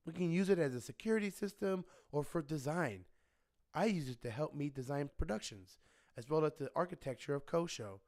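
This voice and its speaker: Higher-voiced male inventor mentor. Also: expressive, fairly quick, a little mellow, no room sound. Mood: explaining. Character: male inventor mentor, patient, brisk and knowing. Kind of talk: scripted radio drama dialogue.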